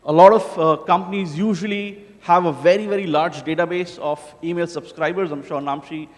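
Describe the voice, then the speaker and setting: Man from India, slightly high voice, giving a loose, joking conference talk with lots of "uh"s; hall echo. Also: steady tone, a little fast. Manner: casual, joking, many filler "uh"s. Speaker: conference speaker. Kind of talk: conference presentation. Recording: hall reverb.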